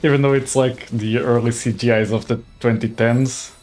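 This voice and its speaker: A man's voice: mid-range voice, fairly even intonation, normal speed, darkish tone. A young man from Slovakia streaming a game, chatting casually, laughing often and reading game text aloud. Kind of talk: casual gaming livestream chat. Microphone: desk mic.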